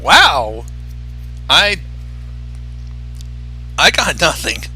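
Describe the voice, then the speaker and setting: A man's voice: fairly high pitch, sing-song, extremely slow, sharp tone, slightly rough, faint music behind. A man giving blunt, sardonic reaction commentary in a casual way as a co-host. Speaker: co-host commenting on a fan fiction reading.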